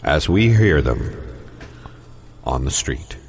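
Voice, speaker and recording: Theatrical comedy announcer, male performer: very slow, dramatic, hugely varied pitch. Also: very animated, creaky, a little hiss or hum, exaggerated voice. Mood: showy announcing. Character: theatrical announcer introducing mock real-life discussions. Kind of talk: improv audio comedy announcing.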